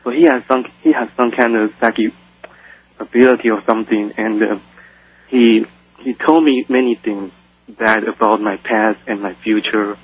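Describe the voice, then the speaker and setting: Husky caller from Taiwan on the phone. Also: male voice, fairly low pitch, fairly even intonation, no echo. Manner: halting, searching for words. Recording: muffled dry phone line.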